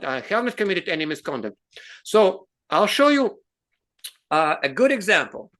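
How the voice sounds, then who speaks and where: A man with a Russian accent, a native Russian speaker, hosting an explanatory talk in English, earnest and halting with frequent fillers and restarts. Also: fairly high pitch, pushed and bright. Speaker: host.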